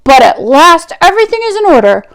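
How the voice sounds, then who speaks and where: A woman's voice: very high voice, sing-song, brisk, fairly bright tone, exaggerated voice. A woman voicing a genial male mayor from a children's storybook in a formal, friendly way. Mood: genial, welcoming. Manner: formal, friendly official speech.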